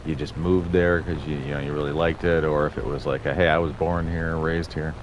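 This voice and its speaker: A man's voice: rumbling low, level tone, a little fast, dull audio, background noise. A man streaming a video game, rambling through casual, self-deprecating commentary as he plays.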